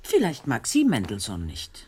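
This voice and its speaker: An actress playing a concerned, inquisitive Victorian woman in a German radio play, with an expressive, questioning and musing delivery. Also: very deep voice, moderate pace, husky, heavily acted. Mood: curious, wry.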